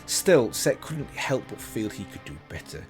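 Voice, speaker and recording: A man's voice: lowish voice, sing-song, measured pace, soft background music. A man hosting a reaction video, reading a story aloud in a casual way with slips and self-corrections, remarking on it as he goes.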